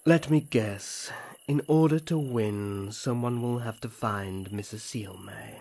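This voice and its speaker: A man as a stern, protective, husky elf prince. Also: lowish voice, takes it slowly, a little mellow, heavily acted. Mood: suspicious. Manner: formal, guarded, disapproving. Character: protective, serious young male elf prince, reluctant and stern. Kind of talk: fantasy audio roleplay acting.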